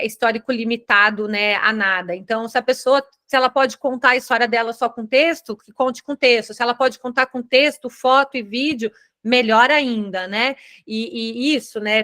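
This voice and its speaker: Bright-voiced woman moderating a video call. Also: a little high. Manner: friendly, explanatory, frequent "né" tags. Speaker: moderator of a virtual Q&A forum. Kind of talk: online meeting moderation.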